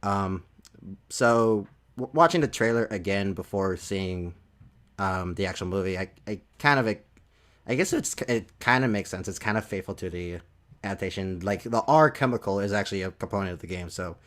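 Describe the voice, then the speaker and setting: A man with a fairly low voice talks casually, as on a movie podcast. His delivery is halting, and he often doubles back to rephrase what he is saying. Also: fairly bright tone.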